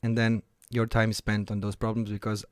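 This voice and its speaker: A man's voice: lowish voice, monotone, a little fast, clean tone, dry recording, clean studio sound. A man in his thirties with a Croatian accent, giving an informal talk introducing himself as a company founder. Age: 30s.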